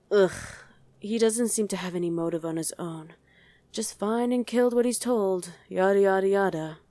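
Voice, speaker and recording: A woman's voice: lowish voice, a little mellow, no room sound, close to an everyday voice. A woman voicing a condescending, sardonic female demon commander in a chatty ASMR roleplay. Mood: wry gossiping. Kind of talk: ASMR roleplay acting addressed to the listener.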